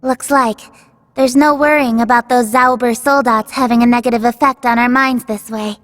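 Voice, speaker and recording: A woman's voice: on the high side, fairly even intonation, normal speed, a bit bright, long reverb tail, natural voice. An actress playing a thoughtful, composed young female teammate in a video game cutscene. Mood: composed, glad. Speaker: voice actor.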